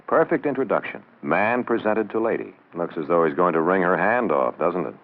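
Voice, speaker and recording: A man's voice: sits low, lively intonation, fairly quick. A man narrating a 1960s instructional film in a wry, sardonic and instructive manner. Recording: muffled old film soundtrack, music behind.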